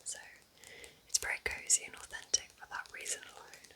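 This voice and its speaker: A woman whispering gently and unhurriedly in a breathy, chatty ASMR style as she recommends books. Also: fairly quick. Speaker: ASMR video creator playing a kind witch.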